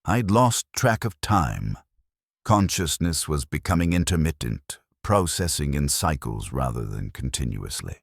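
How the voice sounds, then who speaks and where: Male horror narrator, very low register, expressive pitch movement, measured and solemn delivery. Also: gravelly, no room sound, clean studio sound. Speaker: story narrator. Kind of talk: scripted first-person horror narration.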